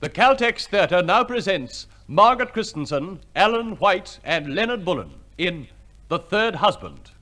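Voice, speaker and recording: A man's voice: high voice, lively intonation, a little slow, pushed and bright, a little hiss or hum. A man announcing a play in a formal, scripted 1950s radio theatre style.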